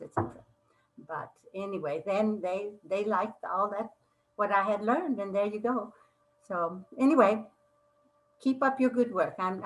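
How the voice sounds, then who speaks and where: A woman's voice: medium pitch, rough recording. An older woman moderating a panel, a voice teacher who reminisces in a rambling way with many restarts. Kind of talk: casual panel discussion.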